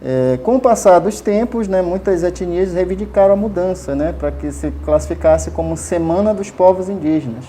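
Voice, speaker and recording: Man, mellow voice, hesitant with lots of fillers, faint noise behind. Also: high-pitched, animated, moderate pace, hardly any pauses. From Amazonas, Brazil. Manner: hesitant, many fillers and restarts, frequent tag questions. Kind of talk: casual explanatory interview answers.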